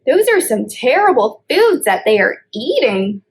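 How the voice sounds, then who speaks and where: A woman speaks in a high, very animated voice at a slow pace. She leads story time for young children, putting deliberate emphasis on key words. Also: slight background noise.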